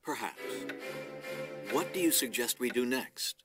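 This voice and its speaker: Man acting a measured, commanding lord of knights. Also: mid-range voice, animated, natural voice. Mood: pondering, grave. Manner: measured, authoritative, formal. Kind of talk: scripted video game voice acting. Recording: music under the voice.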